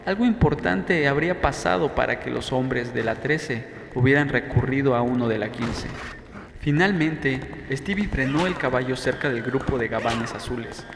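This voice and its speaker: A man with a mid-pitched voice reads a novel as an audiobook narrator. The sound is very echoey, with long reverb and a faint background noise. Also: moderate pace, creaky. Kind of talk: scripted first-person novel narration.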